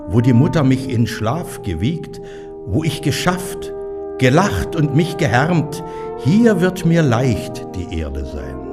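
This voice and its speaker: A man reciting verse in a slow, expressive declaiming style. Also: mid-range voice. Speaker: poetry reciter. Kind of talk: recited poetry. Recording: voice over clear instrumental music.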